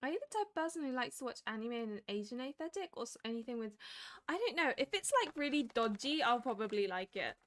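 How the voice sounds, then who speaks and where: A woman in her late twenties chatting casually on a stream, animated and laughing often. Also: a little high, fast. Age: 20s. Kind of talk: casual chat.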